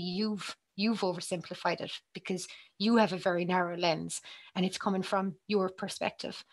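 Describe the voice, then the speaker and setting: A woman's voice: mid-range voice, a bit bright. Woman, thirties, psychologist on a panel, impassioned, headset mic, video call. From Ireland. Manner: earnest, impassioned, with frequent ums and restarts. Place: small room with bookshelves, indoors.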